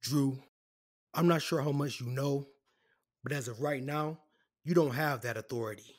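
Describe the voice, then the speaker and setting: A man playing a composed, apologetic corporate lawyer in an audio drama, speaking in a measured, formal way. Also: mid-range voice, lightly acted. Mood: firm, formal. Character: composed, apologetic corporate defense lawyer delivering bad news.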